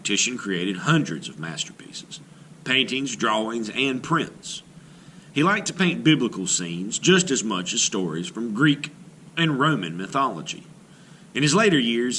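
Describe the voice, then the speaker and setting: A man's voice: mid-range voice, slight background noise. A man teaching art, reading a painter's biography aloud and then walking through a timeline with casual asides. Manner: lively, emphatic read-aloud delivery with asides.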